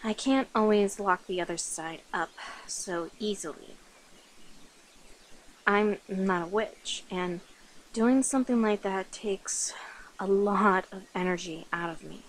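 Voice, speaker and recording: A woman voicing a sweet, caring vampire in a soft, hesitant ASMR roleplay. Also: mid-range voice, slow, a little hiss or hum, lightly acted, rough recording. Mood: soft, confessing.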